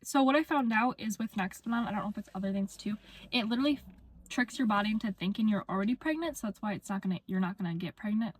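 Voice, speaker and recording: A woman's voice: medium pitch, lively intonation, quick pace, a little hiss or hum. Chatty young woman with a slight cold. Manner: chatty, filler-heavy with frequent "like" and "um". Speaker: vlogger. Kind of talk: casual talking-to-camera vlog.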